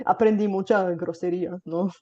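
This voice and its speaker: A woman's voice: on the low side, fairly even intonation, moderate pace, dark tone, highs cut off. A Bulgarian woman hosting a casual chat in Spanish with a slight Caribbean accent. She talks with many fillers and tag questions. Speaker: host. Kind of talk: casual conversation.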